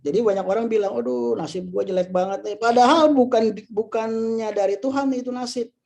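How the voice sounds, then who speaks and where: A man's voice: high-pitched, creaky, mellow. A man of about fifty with an Indonesian accent gives an informal motivational talk, conversational and full of tag phrases and rhetorical questions. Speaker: insurance agency leader and motivational speaker.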